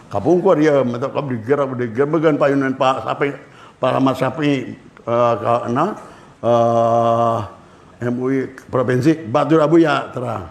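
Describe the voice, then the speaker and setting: An older man with a Sundanese accent giving a religious lecture to students, lively and switching between languages as he acts out anecdotes. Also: mid-range voice, unhurried, creaky. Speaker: Islamic cleric.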